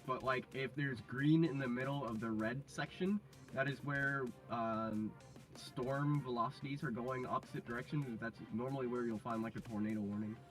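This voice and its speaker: Man, stream co-presenter, average pitch, informal explanatory delivery, halting, casual, light background music. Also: fairly even intonation, low-quality recording.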